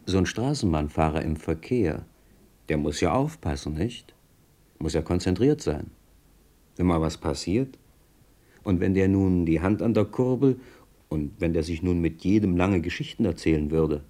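An actor playing a curious, admiring passenger who narrates in a German radio play, chatty and confiding with the listener. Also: male voice, low-pitched, lively intonation, moderate pace, dark tone. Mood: musing, confiding.

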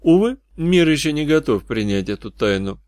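A man's voice: a little high, sing-song, moderate pace, a little mellow, no echo, pitched above the performer's own voice. A man voicing a seasoned, secretive supernatural detective in an audiobook, wry and mock-solemn. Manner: solemn, mock-grand dry wit. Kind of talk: audiobook character dialogue.

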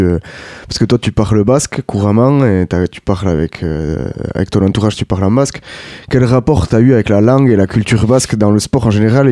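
Young male radio interviewer, lowish and mellow, chatty and meandering, dry sound. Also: dry recording. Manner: friendly, rambling questions with fillers.

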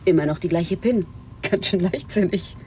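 A woman's voice: on the low side, moderate pace, mellow, a little hiss or hum, close to an everyday voice. An actress playing a stern, confronting police detective in her forties in a radio drama, firm and direct. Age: 40s. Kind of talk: German radio drama acting.

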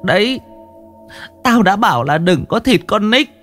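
A man's voice: high voice, steady tone, a little slow, a bit bright, faint music behind, pitched above the performer's own voice. A man voicing an old village woman who whimpers and scolds tearfully. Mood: wailing.

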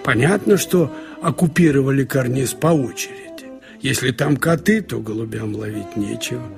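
A man narrating a literary short story in an expressive, storytelling way with wry colloquial asides. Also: mid-range voice, gravelly, husky. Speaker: narrator reading short fiction. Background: music.

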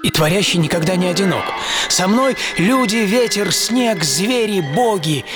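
A man's voice: high-pitched, animated, moderate pace. A man playing a wounded German pilot turned artist in a dramatized, reflective first-person radio monologue, speaking in terse, theatrical phrases. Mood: intense, reflective. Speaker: storyteller. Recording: music under the voice. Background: prominent music.

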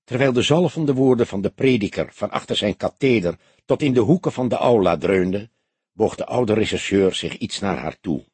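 A man narrating an audiobook in a measured, expressive literary storytelling style. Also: on the low side, breathy edge, no echo.

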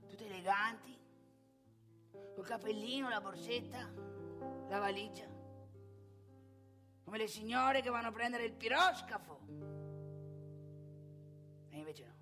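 A woman's voice: mid-range voice, lightly acted. A woman playing a boastful, nostalgic old man in a theatrical comic monologue, rambling and emphatic. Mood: grumbling, confiding. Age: older. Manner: rambling, emphatic, addresses listener with rhetorical questions.

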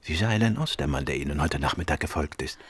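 An actor playing a calm, assured gentleman detective in a radio drama, speaking in a measured, polite, probing way. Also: a bass voice, breathy edge, heavily acted.

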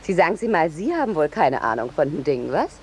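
Young female war correspondent, brisk and scornful, lively intonation, vintage radio play with slight noise. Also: deep voice. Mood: brisk, impatient. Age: young adult. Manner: brisk, matter-of-fact, bossy, scornful. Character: brash, pushy young female war correspondent hungry for scandal. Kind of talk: 1950s German radio play acting. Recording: noisy 1950s radio drama recording.

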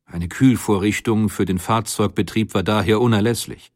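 A man playing a methodical inventor who recounts his technical work in a measured, matter-of-fact way, as in a scripted memoir. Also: fairly low pitch, a little mellow, no echo, studio quality, lightly acted. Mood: sober, explanatory. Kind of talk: scripted first-person memoir reading.

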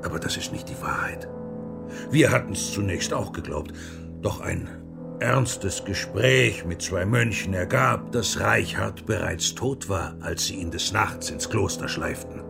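An actor playing a defiant religious reformer in a German radio play, biting, sarcastic and deliberate. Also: male voice, deep voice, animated, gravelly, over music, exaggerated voice. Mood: measured, accusing. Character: defiant, sardonic religious reformer confronting his accuser.

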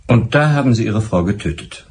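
An actor in a German radio drama playing a blunt, exasperated police inspector who questions in a curt, impatient way. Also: male voice, lowish voice, lively intonation, fairly quick, darkish tone, husky. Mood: probing.

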